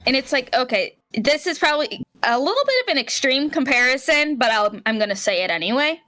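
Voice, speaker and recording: A woman's voice: sits high, expressive, a little fast, pushed and bright, faint music behind. A woman co-hosting a casual podcast, chatting frankly and building up to blunt comparisons. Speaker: podcast co-host.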